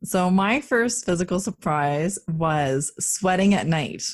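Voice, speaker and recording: Casual woman co-host for a parenting podcast, lowish voice, loose and chatty. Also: expressive, takes it slowly. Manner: chatty, with frequent fillers and restarts.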